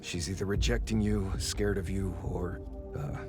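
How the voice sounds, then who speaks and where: An actor playing a deferential but blunt inventor aide in a dramatic game, explaining technical things in a measured, cautious way. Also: male voice, deep voice, fairly even intonation, over loud music. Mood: cautious, explanatory.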